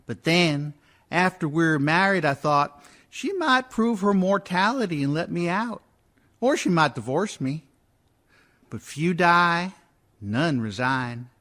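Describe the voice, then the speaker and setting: A man's voice: fairly high pitch, expressive, slow pace, fairly bright tone. A man playing a dead husband who wryly and wearily recounts his marriage in a theatrical radio-play monologue. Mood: wry, resigned.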